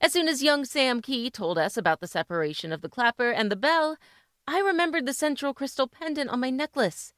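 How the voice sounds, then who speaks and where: A woman plays a spirited, clever doctor's wife in a vintage radio drama. Her voice sits fairly high and swings expressively, recorded dry with little room around it. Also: expressive, a little fast, a bit bright, no echo. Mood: playful, proud. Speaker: actress. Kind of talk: old-time radio drama acting.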